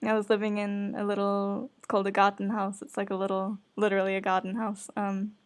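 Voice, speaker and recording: Female podcast writer and co-host, medium pitch, informal and bubbly, given to laughing as she chats live. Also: completely flat delivery, brisk, clear, resonant tone. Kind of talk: casual live event chat.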